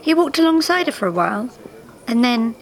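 A woman reading a scripted story to a live audience in a measured, dramatic way. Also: on the high side, sing-song, rough recording. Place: theatre stage, live reading. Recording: live theatre recording with faint background noise.

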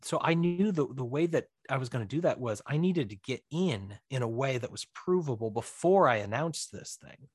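A man's voice: medium pitch, lively intonation, moderate pace, few pauses, a little mellow, slightly rough, no room sound, studio quality. A man talking casually as a guest in a podcast interview, explaining things chattily with plenty of asides. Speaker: interview guest, writer-director.

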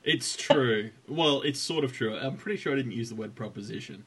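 Teasing husky man podcaster. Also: mid-range voice, a little fast. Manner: teasing, dry humour, lively. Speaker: podcast co-host. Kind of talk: casual chat about women's cycling.

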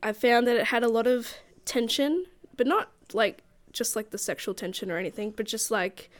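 A woman's voice: on the high side, moderate pace. A woman in her late twenties co-hosting a book club podcast. She has a cold, sounds a bit nasal, and rambles with lots of fillers. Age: late 20s. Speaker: podcast co-host. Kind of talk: casual book club chat.

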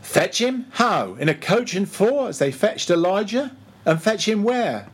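Man playing a bossy, high-pitched ship's mate. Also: sing-song, measured pace, slightly rough, exaggerated voice. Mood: mock-solemn, bossy. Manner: commanding, interrogating, mock-solemn. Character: bossy, mocking male ship's mate, badgering and pompous.

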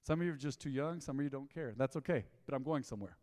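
A man, preaching a sermon in a folksy storytelling way, dropping to low asides and rising to loud emphatic bursts. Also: very fast, long reverb tail. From Texas. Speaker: pastor. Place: church sanctuary.

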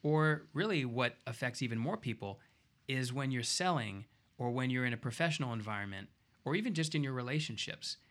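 A man speaking as a radio talk show host, explaining his points in emphatic lists with deliberate pauses. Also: medium pitch, a bit bright.

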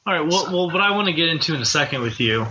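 A fairly high-voiced American man hosting a loose podcast, crude and teasing, with a swear in nearly every sentence. Clear background noise sits under his voice. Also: animated, rapid-fire, pushed and bright, poor audio. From United States. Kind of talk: casual chat.

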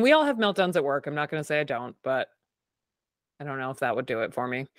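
Wry woman with a low voice. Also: dry recording. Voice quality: decently low, masculine-leaning voice. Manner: dry, wry, laughs at her own jokes. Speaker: podcast host. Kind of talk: casual recap chat.

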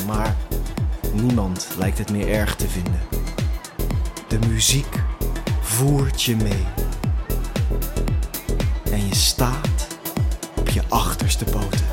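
A man narrating a scripted audio drama in the second person, in a dramatic, immersive manner. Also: mid-range voice. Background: music.

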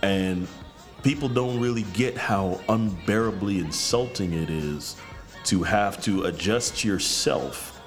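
A man's voice: slow pace, faint music behind. A man, a podcast guest who talks directly and bluntly and swears freely. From New York. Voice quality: deep voice. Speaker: guest, counselor. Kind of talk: candid podcast conversation.

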